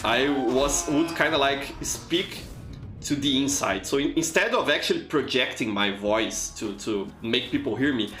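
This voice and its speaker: A man with a Brazilian accent chats with his live-stream audience in a chatty, encouraging way, often repeating words as he thinks. His middle-pitched voice carries a roomy echo. Steady game music plays clearly behind him. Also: measured pace. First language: Portuguese. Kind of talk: casual live-stream chat with viewers.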